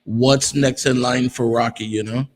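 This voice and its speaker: A relaxed male host who chuckles often and hunts for words with plenty of ums. His voice trails off into a long, reverberant tail. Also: medium pitch, unhurried, a little hiss or hum. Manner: friendly, laughs often, many ums. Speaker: host of interview show. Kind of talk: casual interview. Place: South Florida.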